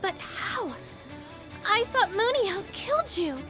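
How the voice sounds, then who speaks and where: An actress playing a shy, polite young woman in a video game, timid and halting in her speech. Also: very high-pitched, lively intonation, pushed and bright, faint music behind, full character voice. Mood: tentative surprise. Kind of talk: video game cutscene acting.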